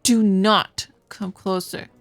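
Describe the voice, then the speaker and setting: A woman's voice: mid-range voice, fairly even intonation, slow, no room sound. A woman voicing a frightened, defiant young noble wife in a visual novel, emphatic, indignant and pleading, with music behind. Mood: alarmed, defiant. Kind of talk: dramatic visual novel voice acting.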